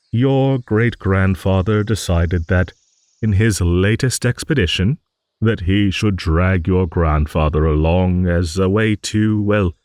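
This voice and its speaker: A man's voice: low-pitched, darkish tone, no echo, clean studio sound. A man playing a genial, nostalgic old dragon in an improvised fantasy monologue, musing and rambling with hums and hesitant asides.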